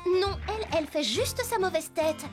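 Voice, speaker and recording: Very high-pitched cartoon girl. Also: animated, normal speed, music in the background. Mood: exasperated. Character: caring, expressive girl who tends horses. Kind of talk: French cartoon dubbing.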